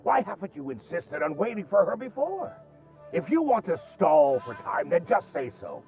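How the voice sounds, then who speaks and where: A hot-headed sect elder from a game drama, accusing and scornful. The voice is gravelly and very lively in pitch. The sound is dull and muffled with music underneath. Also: male voice, normal speed, full character voice. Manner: biting, accusatory. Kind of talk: dramatic video game acting.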